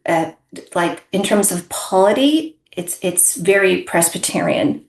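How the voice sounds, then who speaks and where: A middle-aged woman from Southern California, an ethics professor and book author, answering interview questions in an articulate, measured way. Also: on the low side, gravelly, echoey room, low-quality recording. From United States (Southern California). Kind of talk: interview conversation.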